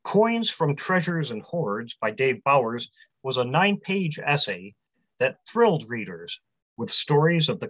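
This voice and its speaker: A man in his forties, a publisher, giving a prepared convention talk from a small room over a video call, in a measured delivery that runs through long lists. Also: mid-range voice, fairly bright tone, no room sound. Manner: measured, list-heavy delivery. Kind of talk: prepared talk read aloud. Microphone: webcam on a video call. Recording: dry, slightly muffled webcam audio.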